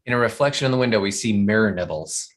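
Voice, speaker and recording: A narrator reading out scripted stage directions at an animated comedy table read. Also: mid-range voice, fast talker.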